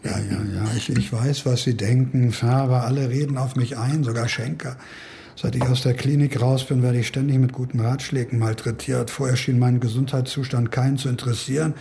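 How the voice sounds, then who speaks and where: Creaky, cantankerous older professor, played by a man. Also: medium pitch, steady tone, mellow, a little hiss or hum, natural voice. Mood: irritated. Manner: rambling, emphatic monologuing with musing pauses. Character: ailing older professor, brooding, cantankerous, philosophizing. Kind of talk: radio drama dialogue.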